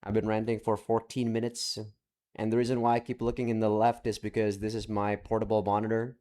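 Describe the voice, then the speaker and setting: A young man with a fairly low voice gives a loose, unscripted update to his viewers. He rambles and breaks off mid-sentence, peppering his talk with casual swearing as he searches his screens. It is recorded in his room at home. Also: completely flat delivery, fairly quick, warm tone. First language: not Japanese. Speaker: visual novel let's play creator. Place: home room with several monitors.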